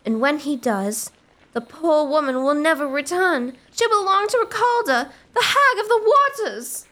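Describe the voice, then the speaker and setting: A woman performs a teasing young lady of gothic audio comedy. Her voice is high, with a theatrical relish suited to spooky tales. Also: expressive, measured pace, a bit bright. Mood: eerie relish. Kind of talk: comic gothic audio drama acting.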